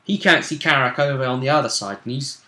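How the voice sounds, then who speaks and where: A man with a bright, forward voice and a middle-range pitch, co-hosting a gaming show. He chats casually, like a commentator weighing up contestants, and the room around him echoes noticeably. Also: fast talker. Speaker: co-host of a gaming vidcast. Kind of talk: casual speculative commentary on a tabletop role-play tournament.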